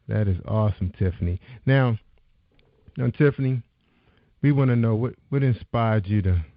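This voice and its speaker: A man hosting a talk show in a folksy, encouraging way. His voice sits on the lower side. The sound is dry and a little dull, with no room around it. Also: unhurried, long pauses, dry recording. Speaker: radio talk show host. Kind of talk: casual interview chat.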